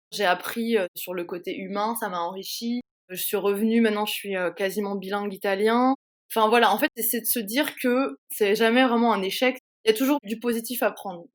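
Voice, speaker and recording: A woman in her twenties from France, an interview guest speaking earnestly and reflectively with fillers. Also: mid-range voice. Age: 20s. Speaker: guest, student. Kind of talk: casual interview talk.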